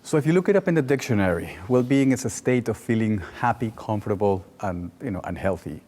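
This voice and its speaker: A man's voice: fairly low pitch, lively intonation, quick pace, darkish tone. A man in his forties from Peru, a native Spanish speaker with a Peruvian accent, talking conversationally as an interview guest. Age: 40s. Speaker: well-being coach and guest. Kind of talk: conversational personal storytelling in an interview.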